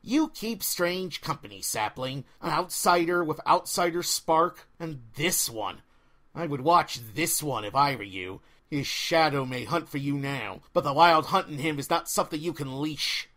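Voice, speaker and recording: Man as a slow, stately female dryad. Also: fairly high pitch, expressive, fairly bright tone. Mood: ominous. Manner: stately, measured, formal. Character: ancient-mannered female dryad, haughty, curious forest guardian. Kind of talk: audiobook character voice.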